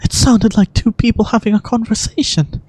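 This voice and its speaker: A woman's voice: fairly high pitch, fairly even intonation, normal speed, darkish tone, slightly rough, no echo, pitched above the performer's own voice. A woman acting an earnest, dutiful, concerned adventurer in scripted video game dialogue.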